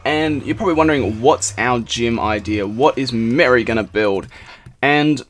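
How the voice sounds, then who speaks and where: Aussie guy hosting a game vid, bit hoarse, rambly and upbeat, lots of ums. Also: mid-range voice, normal speed, a bit bright, slight background noise. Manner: rambling, upbeat, many fillers and restarts. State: slightly hoarse from shouting. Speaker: gaming channel host. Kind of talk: casual game commentary.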